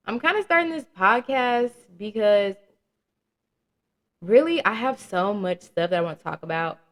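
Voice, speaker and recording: A young woman around twenty hosting her own podcast, talking candidly and casually with slang, swearing and laughing at her own jokes. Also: lowish voice. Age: young adult. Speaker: podcast host. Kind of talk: casual solo podcast chat.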